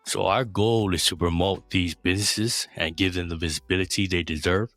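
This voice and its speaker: A man hosting a business interview podcast, reading a prepared introduction and sponsor lines at a steady pace before asking questions. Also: low voice, normal speed, no room sound, faint music behind. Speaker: podcast host.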